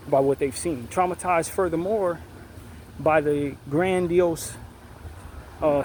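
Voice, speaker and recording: Man with a raised voice, measured commentary over traffic noise. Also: on the high side, a little mellow, rough recording. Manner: measured and deliberate, with frequent restarts and emphatic stresses. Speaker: online commentator. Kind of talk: unscripted opinion monologue.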